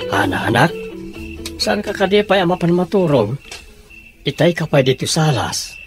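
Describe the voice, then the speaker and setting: A man with an Ilocano accent playing a caring, hardworking father in a radio drama, speaking gently. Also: a little high, very animated, normal speed, over music. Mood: concerned, tender.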